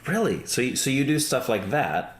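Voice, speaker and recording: Super low-voiced woman host, lots of yeah and right, roomy sound. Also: very animated, normal speed. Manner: affirming, frequent "yeah" and "right". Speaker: podcast host. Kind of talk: conversational interview. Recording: roomy echo with faint background noise.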